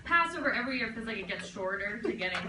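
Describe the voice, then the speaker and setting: A young woman with a loud voice giving a chatty, joking toast at a birthday party. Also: a little high, animated, fast talker, noisy background. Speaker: family member giving a toast. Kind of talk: casual spoken toast. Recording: echoey room, distant mic, loud crowd.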